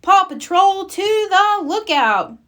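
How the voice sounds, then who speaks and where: A woman's voice: extremely high pitch, very animated, takes it slowly, bright, forceful tone, pitched above the performer's own voice, full character voice. A woman voicing a confident, encouraging boy who leads a puppy rescue team in a children's storybook. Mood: decisive. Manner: rallying, encouraging.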